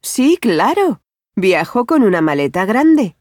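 An actress playing a friendly adult woman who works at a bank, speaking clearly and without hurry in language-course dialogues. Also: mid-range voice, sing-song, slow pace, a little mellow, dry recording, clean studio sound. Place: dry studio.

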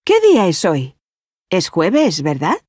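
An actress playing a friendly adult woman who works at a bank, speaking clearly and without hurry in language-course dialogues. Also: fairly low pitch, wildly swooping pitch, slow, a little mellow, dry recording, studio quality, exaggerated voice. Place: dry studio.

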